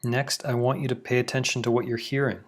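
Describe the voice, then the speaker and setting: A man leading a guided meditation, giving slow, soothing instructions with long pauses. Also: mid-range voice, little pitch movement. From Canada.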